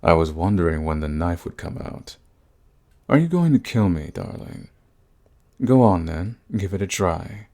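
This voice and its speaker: An actor playing a suave, sadistic vampire lord in an ASMR roleplay, with a theatrical, silky, condescending manner and a husky, gravelly voice. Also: male voice, low-pitched, lively intonation, mellow, full character voice. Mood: amused, goading. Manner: theatrical, silky, condescending endearments, drawn-out phrasing. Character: suave sadistic male vampire lord, predatory, mocking, possessive.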